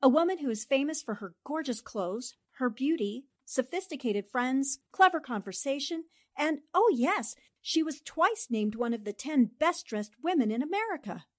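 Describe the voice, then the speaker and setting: Woman doing an old-time radio girl reporter, high lively voice, eager and polite, dry close sound. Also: moderate pace, few pauses, no room sound. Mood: curious. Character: quick-witted woman newspaper reporter. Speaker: newspaper reporter. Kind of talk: 1940s radio drama acting.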